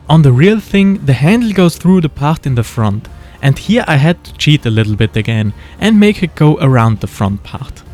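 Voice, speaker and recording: A man with a German accent talks through a miniature build in an easygoing voice-over. His voice sits a little high for a man, and his humour is dry and understated. Faint music plays underneath. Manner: relaxed and wry, with dry jokes. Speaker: model-building video creator. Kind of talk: casual voice-over narration of a craft build. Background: faint background music.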